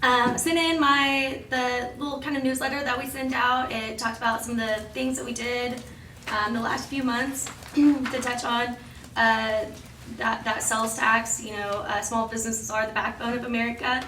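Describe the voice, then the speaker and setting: A woman with a slightly raspy voice giving a chatty, informal report at a public meeting, full of ums and restarts. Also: a little high, steady tone, moderate pace, bright, forceful tone. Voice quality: slightly raspy from cheering over the weekend. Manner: chatty, many ums and self-corrections. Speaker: downtown business promoter reporting to council. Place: council chamber. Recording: echoey council chamber, mic far off, faint hiss.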